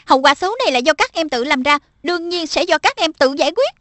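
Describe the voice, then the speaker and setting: A woman's voice: high voice, animated, fast talker, fairly bright tone, no echo. A woman voicing a small, preachy magical pet spirit in a cartoon dub, admonishing and instructive. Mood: stern, admonishing.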